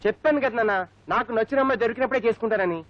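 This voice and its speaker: Fast-talking, insistent young bachelor. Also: male voice, very high-pitched, no room sound. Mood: stubborn. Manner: insistent, repeats himself. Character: brash young bachelor, pleading and stubborn. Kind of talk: Telugu film comedy acting. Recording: dull film soundtrack.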